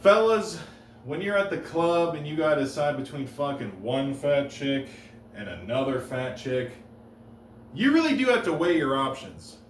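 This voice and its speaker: Deadpan male stand-up in a roomy room. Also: on the high side, slight background noise. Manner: deadpan, halting, with restarts and pauses. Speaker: stand-up comedian. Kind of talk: deadpan one-liner stand-up. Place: comedy stage. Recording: roomy live room, heard from a distance.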